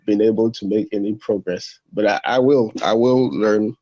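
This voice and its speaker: A man's voice: normal speed, mellow. A young man, a French speaker, answering interview questions in a hesitant way with many restarts. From Benin. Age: young adult. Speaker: translation student guest. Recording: muffled low-fidelity audio.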